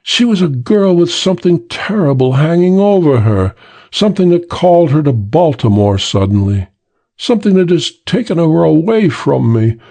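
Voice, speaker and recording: A man's voice: fairly high pitch, sing-song, normal speed, vocal fry, warm tone, pitched above the performer's own voice, full character voice. A man voicing an earnest, overwrought young poet in love, pleading emotionally, in an audiobook. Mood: anguished, insistent. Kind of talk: audiobook character acting.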